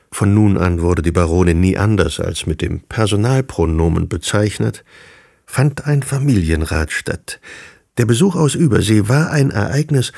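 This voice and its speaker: An actor narrating a literary period radio drama as a composed storyteller. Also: male voice, on the low side, vocal fry, mellow, slightly rough, no echo, clean studio sound, full character voice. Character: composed male storyteller of a period family novel.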